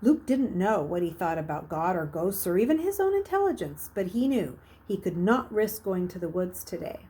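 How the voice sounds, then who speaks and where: A woman reading a children's novel aloud as a storyteller, narrating expressively, with emphatic swells and hushed phrases. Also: fairly low pitch, very animated, normal speed.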